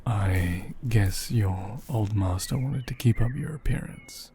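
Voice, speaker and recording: A man playing a gentle, attentive doll repairer in an ASMR roleplay, speaking softly and often in a half-whisper, unhurried and soothing. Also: on the low side, gravelly, slight background noise. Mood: tender, soothing. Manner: unhurried, hushed, murmuring with thoughtful hums.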